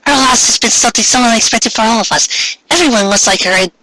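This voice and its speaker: A high-pitched, earnest schoolgirl acted out by a man for an amateur story reading. The voice is dainty and eager, with a bright edge and polite delivery. It is recorded close and dry. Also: fast talker, no echo, full character voice, rough recording. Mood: flustered.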